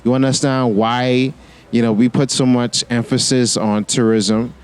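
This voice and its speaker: A man with a Bahamian accent mixed with American, hosting a podcast and asking loose, relaxed questions. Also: medium pitch, measured pace, clear, resonant tone. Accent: Bahamian with American mix. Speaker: podcast host. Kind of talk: casual interview talk.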